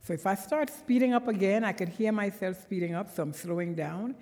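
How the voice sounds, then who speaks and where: A woman's voice: mid-range voice, fairly quick, a little mellow. A woman from Trinidad with a Trinidadian accent, preaching a conversational sermon as a guest in a church, with earnest, emphatic bursts. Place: church sanctuary. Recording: long church reverb.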